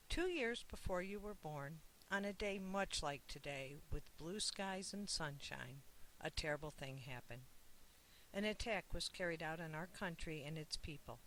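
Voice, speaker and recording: An author narrates a children's picture book in a measured read-aloud voice of medium pitch. Key words get expressive emphasis. A light hiss runs through the recording. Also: low-quality recording. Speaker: author reading own book.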